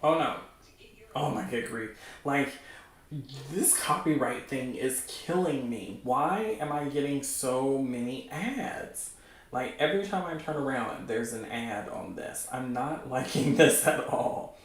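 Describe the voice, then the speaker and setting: A man hosting a show with casual, unscripted commentary, rambling as he thinks out loud. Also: medium pitch, lively intonation, measured pace. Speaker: host of a webcomic news show. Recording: roomy, echoing sound.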